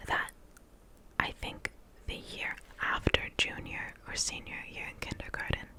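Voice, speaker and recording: A young woman whose first language was Portuguese, telling a rambling, self-mocking story in a close-miked ASMR whisper. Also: a little slow. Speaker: ASMR creator.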